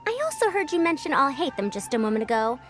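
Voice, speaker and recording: A woman's voice: high-pitched, sing-song, quick pace, soft background music. A woman voicing a tiny floating female companion sprite who is blunt, pushy and refers to herself by name, in video game dialogue. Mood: probing.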